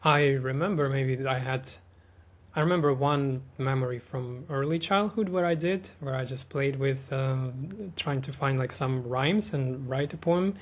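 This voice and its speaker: A man's voice: medium pitch, unhurried. A man, talking as a podcast guest in a thoughtful, hesitant, casual way. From Ukraine. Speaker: podcast guest, artist and language teacher. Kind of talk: casual reflective conversation.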